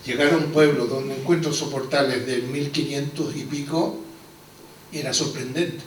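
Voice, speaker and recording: A man's voice: mid-range voice, steady tone, moderate pace. A man from Chile speaking at a panel about his house, hesitant, with many fillers. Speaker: hotel owner speaking at a panel. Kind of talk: unscripted public remarks. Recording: echoey hall, distant mic, faint noise.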